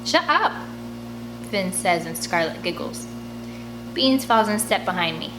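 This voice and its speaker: A woman's voice: lowish voice, moderate pace, music in the background, poor audio. A woman reading a children's novel aloud in the first person, expressively, acting out the dialogue. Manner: animated, acts out dialogue. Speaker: audiobook reader.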